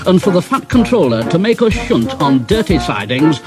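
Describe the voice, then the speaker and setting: A man's voice: a little high, big pitch swings, moderate pace, over music, pitched above the performer's own voice. A man voicing a pompous, self-important, indignant big steam engine in a children's storybook. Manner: pompous, spluttering, aggrieved.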